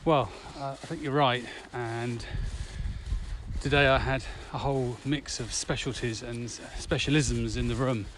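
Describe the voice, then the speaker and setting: A man's voice: mid-range voice, fairly even intonation, unhurried, fairly bright tone. A man co-hosting a casual podcast, talking conversationally while out walking. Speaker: podcast co-host. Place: outdoors, on a village walk. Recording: noisy outdoor recording with ambient sound.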